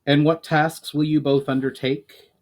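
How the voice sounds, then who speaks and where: A man's voice: on the high side, flat delivery, measured pace, a little mellow, natural voice. A man reading the part of a grave, resolute elf diplomat in a fantasy story, formal and serious.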